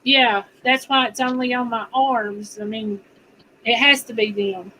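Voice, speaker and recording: A woman with a high, bright voice who talks slowly and in a folksy way. She chats easily, with faint noise underneath. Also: fairly even intonation, low-quality recording. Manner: folksy, laughs easily, gives home-remedy advice. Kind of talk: casual chat on a livestream.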